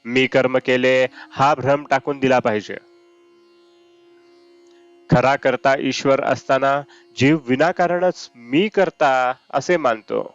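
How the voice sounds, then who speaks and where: A man reads a spiritual discourse aloud in a measured, deliberate way. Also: medium pitch, steady tone, dry recording, dull audio. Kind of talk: read-aloud devotional discourse. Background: Indian classical raga music with sitar.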